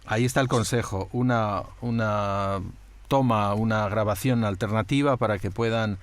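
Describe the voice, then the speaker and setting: An older man, hosting an interview over a video call with a headset, asking measured questions with frequent hesitations. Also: lowish voice, fairly even intonation. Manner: measured, hesitant with fillers, explanatory. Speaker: interviewer, host.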